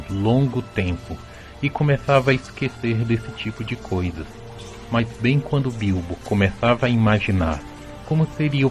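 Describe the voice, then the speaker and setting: Lowish-voiced man narrating a tale. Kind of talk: scripted storybook narration for audio drama. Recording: music behind the voice.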